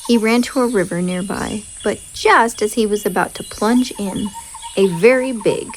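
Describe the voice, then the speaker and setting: A woman reading a picture story aloud for children in an expressive narrating style. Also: mid-range voice, normal speed, slight background noise. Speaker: storybook reader.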